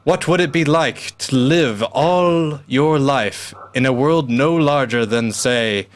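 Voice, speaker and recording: A man narrating in a theatrical, deliberate style, like an announcer of vintage radio drama. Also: medium pitch.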